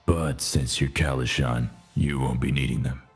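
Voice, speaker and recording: Grizzled old warthog brute, extremely low rough voice, blunt, radio drama, faint music. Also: male voice, fairly even intonation, moderate pace, exaggerated voice. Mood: gruff, stern. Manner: blunt, menacing. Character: grizzled old half-man, half-warthog arena gatekeeper, gruff.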